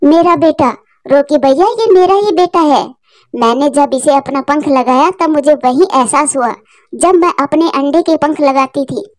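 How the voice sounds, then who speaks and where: A woman voicing a gentle, anxious mother sparrow in a cartoon story, speaking in a tender, coaxing, motherly way. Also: sits high, unhurried, dry recording, clean studio sound. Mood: tender, moved. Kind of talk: Hindi cartoon story character voice.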